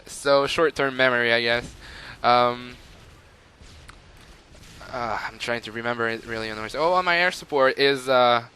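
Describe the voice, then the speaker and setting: Young French Canadian man, bright voice, chatty rambling game commentary, faint noise floor. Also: mid-range voice, unhurried. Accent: Quebec French. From Montreal, Canada. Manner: rambling and chatty, with frequent fillers and laughs. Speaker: gamer. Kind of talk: gameplay commentary.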